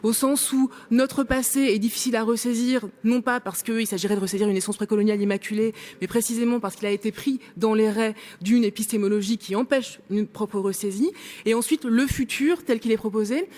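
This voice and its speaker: A woman's voice: fairly high pitch, a little fast, darkish tone. A woman making a long academic remark in a workshop discussion, hesitant with many fillers but building long argued sentences. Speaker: workshop participant.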